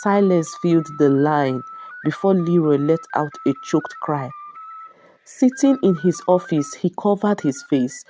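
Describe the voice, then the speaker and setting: Woman storyteller with lively, mellow delivery. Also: low-pitched, measured pace, slight background noise. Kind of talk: dramatic scripted story narration.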